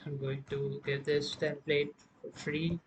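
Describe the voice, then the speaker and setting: A man presenting an informal music production software tutorial, explaining step by step in a halting way. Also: on the high side, level tone, low-quality recording.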